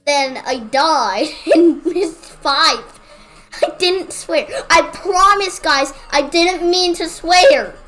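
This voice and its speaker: A woman's voice: very high voice, takes it slowly, a bit bright, faint music behind. A woman streaming a platform game, chatting casually with giggly, playful, defensive banter. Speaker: gaming streamer. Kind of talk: casual gaming commentary.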